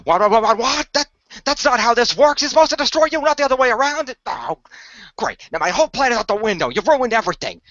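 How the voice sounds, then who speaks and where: A man's voice: extremely high pitch, lively intonation, quick pace, fairly bright tone, dry recording, lo-fi sound, pitched above the performer's own voice. A man voicing a petulant villainous god of the underworld in theatrical, exasperated outbursts for an amateur radio play. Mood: exasperated, furious.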